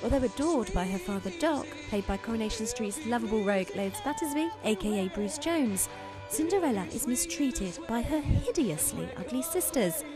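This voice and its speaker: A woman with a slightly high voice narrates over a clear music bed. Her delivery is scripted, in the style of an entertainment feature. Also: animated, normal speed.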